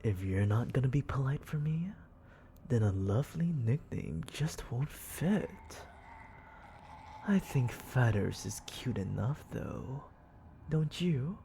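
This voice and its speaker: A man voicing a menacing, teasing demon lord in an ASMR roleplay, breathy and often dropping to a whisper, condescending and coaxing toward a captive. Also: mid-range voice, expressive, warm tone, a little hiss or hum. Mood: teasing, threatening. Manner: slow, taunting, condescending, coaxing.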